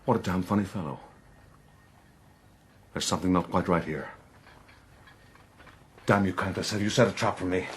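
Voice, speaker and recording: An actor playing a boastful, reckless gentleman with a gruff voice, quick to curse, in a period radio drama. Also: low-pitched, a little hiss or hum, lightly acted. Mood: angry.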